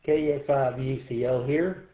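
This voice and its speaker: A man's voice: medium pitch, steady tone, measured pace. A man from Texas with a Texan accent, chatting casually on an amateur radio net from his home shack. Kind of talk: casual on-air chat over radio net. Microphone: radio station microphone in home shack. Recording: roomy, phone-like radio audio.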